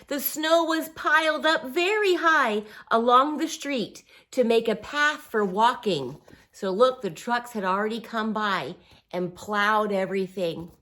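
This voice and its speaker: A woman reading a picture book aloud to young children, with simple, encouraging phrasing, expressive emphasis and little asides and questions. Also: on the high side, a little slow.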